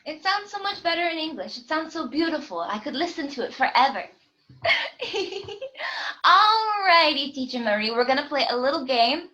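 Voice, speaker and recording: A woman's voice: high voice, sing-song, normal speed, bright, forceful tone, poor audio. A woman hosting a casual podcast lesson over a video call, bubbly and laughing often. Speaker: host and English teacher.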